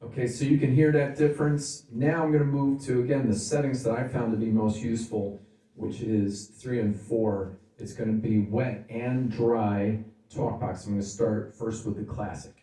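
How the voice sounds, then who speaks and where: A man's voice: medium pitch, fairly even intonation, moderate pace, warm tone. A man giving a casual, informal gear demo, explaining things step by step as a reviewer. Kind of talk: casual product demo talk. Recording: roomy, reverberant sound.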